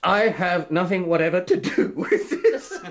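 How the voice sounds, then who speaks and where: An actor playing a flippant, hard-drinking young Victorian medical student in a radio drama, theatrical and mocking. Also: male voice, high voice, sing-song, a little slow. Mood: mocking, amused. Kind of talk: period radio drama acting.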